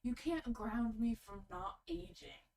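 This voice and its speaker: A woman's voice: a little high, animated, slow, creaky, darkish tone, distant mic, a little hiss or hum. A young woman of about twenty co-hosting a stream, reading viewer comments aloud and teasing. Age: young adult. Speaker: co-host reading chat.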